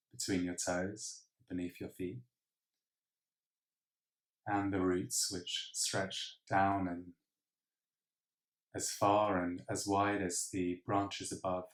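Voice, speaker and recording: A man leading a guided meditation in a measured, reflective way with pauses. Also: low voice. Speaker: meditation teacher. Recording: roomy, echoing sound.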